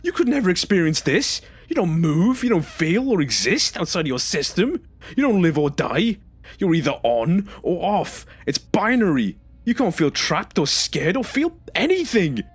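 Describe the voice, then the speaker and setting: An angry, wounded man played by a male actor in a sci-fi audio drama, confrontational and impassioned. His voice is slightly rough, with lively swings in pitch, recorded dry. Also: normal speed, no echo, heavily acted. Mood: agitated, distressed. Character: traumatised, angry male former stasis-pod user demanding accountability.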